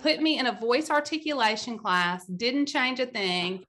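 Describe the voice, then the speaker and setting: A woman from Mississippi with a Southern US accent hosts a relaxed interview. She speaks a little high and bright, asking her guest friendly questions. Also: normal speed. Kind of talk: casual interview chat.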